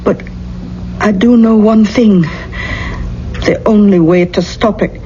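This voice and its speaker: An actress playing a caring, practical older aunt with a Scottish accent in a 1970s radio mystery, measured and knowing. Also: female voice, medium pitch, warm tone, natural voice. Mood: concerned, knowing. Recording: muffled, faintly noisy old radio broadcast.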